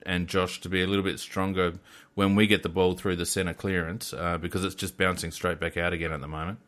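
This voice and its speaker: A deep-voiced Australian man chats about football, relaxed and unhurried, often pausing on fillers as he gathers his thoughts. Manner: relaxed, hesitant, many fillers. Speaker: podcast co-host. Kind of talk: casual chat about football.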